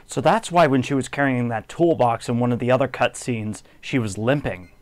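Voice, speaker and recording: A man's voice: mid-range voice, moderate pace. A man giving light, casual commentary over a video game playthrough. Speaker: gaming video maker.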